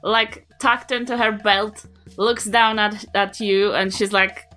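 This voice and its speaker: A woman's voice: medium pitch, fairly even intonation, slow pace, sharp tone, soft background music. A woman playing a tabletop game, casually describing her character and chatting with the group. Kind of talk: casual chat and character narration.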